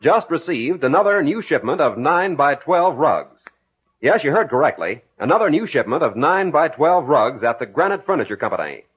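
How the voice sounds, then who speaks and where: A male announcer from vintage radio with a husky voice and very lively intonation. He delivers sponsor copy formally and emphatically. The recording is thin and phone-like. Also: fairly high pitch, fairly quick. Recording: tinny, lo-fi old radio recording.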